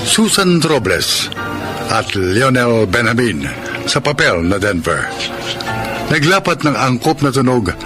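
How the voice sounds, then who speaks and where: Slow, dramatic male radio announcer. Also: mid-range voice. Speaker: announcer and narrator.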